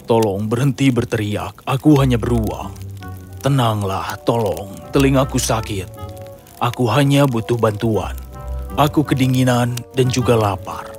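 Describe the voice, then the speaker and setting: A man voicing a gentle, polite talking bear in a children's fairy tale, soft and courteous. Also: medium pitch, a little slow, soft background music, close to an everyday voice. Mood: pleading. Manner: pleading, courteous.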